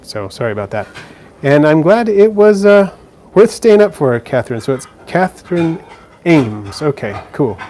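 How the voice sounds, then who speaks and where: Relaxed man hosting a pet live stream. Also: fairly high pitch, moderate pace, slight background noise. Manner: relaxed, chatty, with fillers and restarts. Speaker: live stream host answering viewer questions. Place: indoors beside a frog terrarium.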